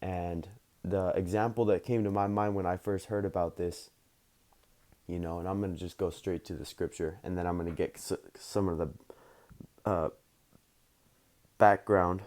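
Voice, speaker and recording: Low, dark-voiced man, slightly hoarse. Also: fairly even intonation, moderate pace, long pauses. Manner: rambling, many fillers, reads scripture aloud. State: slightly hoarse, losing his voice. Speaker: religious teacher. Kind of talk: informal scripture lesson.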